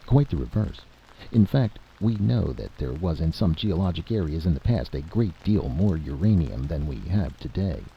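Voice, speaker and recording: A man voicing a reasonable, frank commission chairman speaking in measured terms in a story reading, on a dull lo-fi recording. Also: sits low, gravelly. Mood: reasoned, regretful. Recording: dull lo-fi audio with steady hiss.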